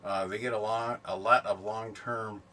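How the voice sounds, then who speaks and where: A middle-aged man chatting casually and unscripted for a vlog, explaining things in a rambling, halting way. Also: on the low side, fairly even intonation, bright, forceful tone. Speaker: camping and ham radio vlogger. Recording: distant mic, background noise.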